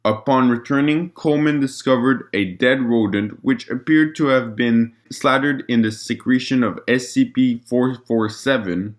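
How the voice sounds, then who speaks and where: A man's voice: mid-range voice, moderate pace, hardly any pauses. A man narrating a scripted document log in a formal, report-like way, with an occasional stumble over the words.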